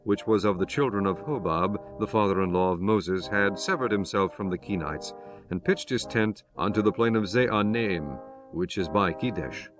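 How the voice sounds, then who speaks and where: A man narrating scripture from a script in a measured, solemn manner with archaic biblical wording. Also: fairly low pitch. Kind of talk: scripted scripture narration, King James Bible.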